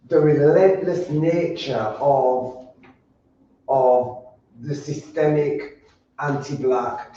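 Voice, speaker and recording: Yorkshire woman panelist, unhurried, halting, recorded far off in a boomy hall. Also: dark tone, slight background noise. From Yorkshire, England. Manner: earnest, halting, repeats and restarts words. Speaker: roundtable panelist. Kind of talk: roundtable discussion. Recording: echoey, distant, dull sound.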